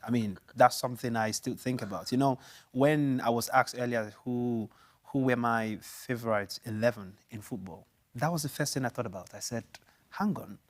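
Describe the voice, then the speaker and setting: A Nigerian man with an Igbo mother tongue talks football on a studio chat show. His voice sits at an ordinary middle pitch, and he rambles with animated restarts and plenty of "you know". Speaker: show co-host, pundit.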